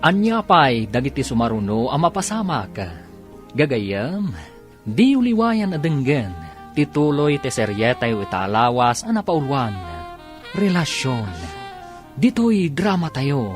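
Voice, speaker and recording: A man's voice: medium pitch, very animated, a little slow. A man with an Ilocano accent giving dramatic scripted narration as the announcer of a radio drama. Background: music.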